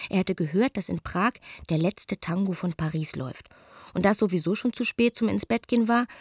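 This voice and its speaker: Eager restless young woman reading out a letter, radio play, mid pitch, really dry sound. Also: no room sound. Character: spirited, restless young East Berlin woman writing to friend. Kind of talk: radio drama, letter read aloud.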